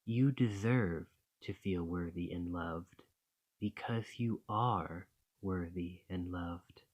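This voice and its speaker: A man's voice: sits low, slow, darkish tone. A man playing an affectionate, slightly possessive dragon boyfriend in an ASMR romantic roleplay, speaking tenderly and reassuringly. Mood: soothing, affectionate.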